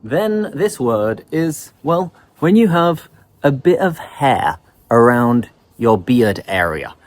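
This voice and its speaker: A man teaching English vocabulary in an online lesson, explaining words in a lively way. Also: medium pitch, slow.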